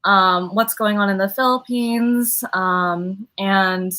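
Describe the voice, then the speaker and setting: A woman from the United States speaking as a panelist in a casual chat, hesitant and pausing often on "um". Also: mid-range voice, sharp tone. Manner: hesitant, many "um" pauses. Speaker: panelist, engineer.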